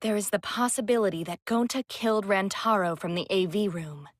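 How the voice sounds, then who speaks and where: A woman's voice: medium pitch, a little fast, no echo, clean studio sound, lightly acted. A woman playing a polite, methodical young female investigator in a video game, speaking formally and precisely. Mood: composed, analytical. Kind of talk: video game dialogue acting.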